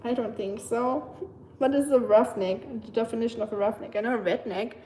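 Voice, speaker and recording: A woman's voice: on the high side, steady tone, brisk, dark tone, a little hiss or hum, poor audio. German-accented woman chatting on a livestream. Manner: chatty, laughs often, playful asides. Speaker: livestream host. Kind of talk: casual chat reading viewer messages.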